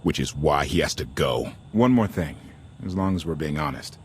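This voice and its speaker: A man's voice: a bass voice, animated, moderate pace, slight background noise. A man playing an earnest, idealistic deserter warship captain in a video game, talking in a casual, sincere way. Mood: sober, sincere.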